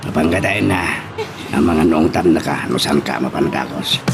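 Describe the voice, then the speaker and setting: A man's voice: a bass voice, moderate pace, over loud music. A man with an Ilocano accent acting a deferential, hesitant henchman of a crime family in a radio drama. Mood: guarded. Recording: clear background noise.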